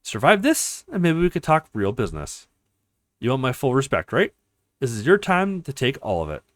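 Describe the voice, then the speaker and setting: A man's voice: medium pitch, wildly swooping pitch, normal speed, no echo, clean studio sound. A man voicing a cocky, haughty retired female mercenary who taunts her opponent, in a visual novel read-aloud. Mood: haughty, bargaining.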